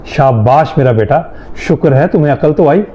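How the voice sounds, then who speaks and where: A man's voice: fairly high pitch, animated, mellow, dull audio, close to an everyday voice. A man playing a strict, principled middle-aged father in a moral-story drama, speaking in an emphatic, lecturing way. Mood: earnest. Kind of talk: Urdu moral-story audio drama acting.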